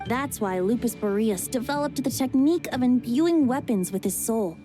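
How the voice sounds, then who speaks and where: A woman voicing a cheerful, playful young male bard who speaks in a light, lilting way and sometimes chants verses slowly. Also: on the high side, warm tone, natural voice. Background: game music.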